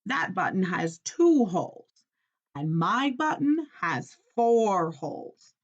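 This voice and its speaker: A woman's voice: medium pitch, sing-song, slow pace, dull audio. A woman reading a storybook as a grumpy, easily upset male toad, whiny and exasperated, complaining with emphasis. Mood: annoyed.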